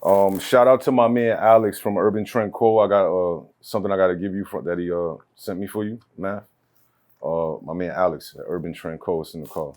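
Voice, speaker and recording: A man co-hosting a podcast, chatting in a relaxed, slangy way. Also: deep voice, fairly quick. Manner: relaxed, slangy, a few fillers. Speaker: podcast co-host. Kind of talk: casual podcast banter.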